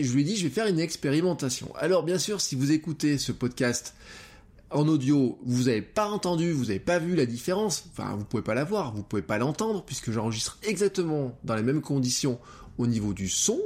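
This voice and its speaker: A man hosting his own podcast, talking straight to his audience as he works through an idea. His pitch rises and falls a lot, and he often stops on hesitations and restarts mid-thought. He sits close to the microphone. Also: big pitch swings, brisk, darkish tone. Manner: explanatory, thinks aloud with frequent hesitations. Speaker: podcast host. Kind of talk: solo podcast monologue.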